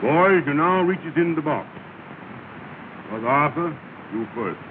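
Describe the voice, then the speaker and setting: An actor in a 1930s film comedy playing a pompous, ceremonious lodge leader who speechifies with mangled formal words. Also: male voice, on the high side, expressive, a little slow. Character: pompous, ceremonious male lodge leader presiding over meeting. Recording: scratchy, tinny, noisy 1930 film soundtrack.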